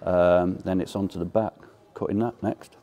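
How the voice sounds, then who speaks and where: A man's voice: deep voice, fairly even intonation, moderate pace, mellow, muffled recording. A man giving a plain, matter-of-fact update on his work in a vehicle workshop. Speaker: workshop fabricator.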